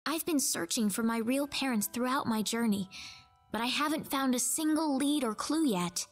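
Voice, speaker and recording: A woman's voice: sits high, animated, normal speed, faint music behind. A woman playing an earnest, determined young heroine in a fantasy video game, with a sincere, questioning manner. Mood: searching, earnest. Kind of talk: dramatic video game dialogue.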